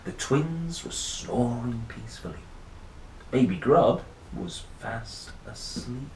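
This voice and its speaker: Guy reading kids story out loud, really expressive, playful little asides, echoey room w faint noise. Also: medium pitch, slow. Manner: playful, theatrical, with exclamations and musing hums. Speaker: children's story reader. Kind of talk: expressive picture-book read-aloud with casual asides. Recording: roomy echo, faint noise.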